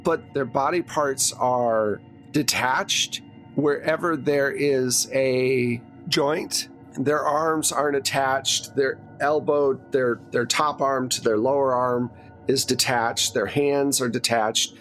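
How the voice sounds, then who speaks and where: A man narrating a horror tabletop roleplaying game as game master, in a deliberate, descriptive way. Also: mid-range voice, fairly even intonation, a bit bright, rough recording. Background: background music.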